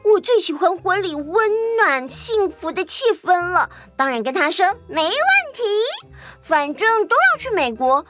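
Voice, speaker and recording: Woman acting a high-pitched, bubbly, giggly young assistant. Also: measured pace, soft background music, exaggerated voice. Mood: proud, excited. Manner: excitable, chatty, boastful. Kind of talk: children's audio story acting.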